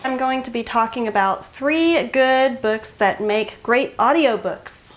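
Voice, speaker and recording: A woman's voice: on the high side, measured pace, very noisy. A woman hosting a book review channel, talking in a chatty, enthusiastic and emphatic way straight to her viewers. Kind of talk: casual scripted book recommendation to camera.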